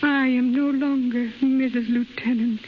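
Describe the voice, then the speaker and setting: An actress playing a gentle, heartbroken young Japanese wife in a 1930s radio drama, speaking slow, halting, simple English with resigned dignity. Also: female voice, high-pitched, steady tone, a little hiss or hum. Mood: resigned sorrow. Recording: muffled 1930s radio recording, music behind.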